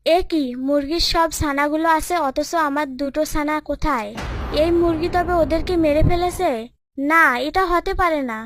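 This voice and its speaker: A woman's voice: sits high, steady tone, normal speed, faint music behind, lightly acted. A woman voicing a fierce, protective mother serpent in a children's cartoon, dramatic, emphatic and emotional. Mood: vengeful.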